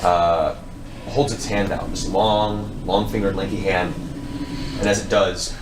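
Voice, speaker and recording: A man acting as game master, improvising descriptive narration for a tabletop fantasy game with deliberate phrasing and dramatic pauses. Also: low voice, steady tone, noisy background. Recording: roomy, distant mics with music underneath. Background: background music.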